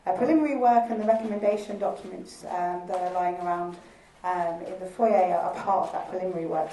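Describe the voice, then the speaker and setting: A woman with a croaky, worn voice gives a chatty, informal welcome, stopping often with ums. She is heard from some distance in a large, echoey museum hall. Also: medium pitch, warm tone. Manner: chatty, laughing, many ums. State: croaky voice from recent illness. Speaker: lab director hosting a launch event. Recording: echoey hall, speaker far from mic.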